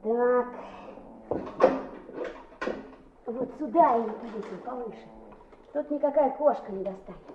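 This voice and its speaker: An actress playing a lively, boastful small schoolboy in a radio play, muttering and exclaiming expressively to himself. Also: female voice, a little high, moderate pace, highs cut off, a little hiss or hum, lightly acted. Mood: grumbling, busy. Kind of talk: Soviet-style radio play acting.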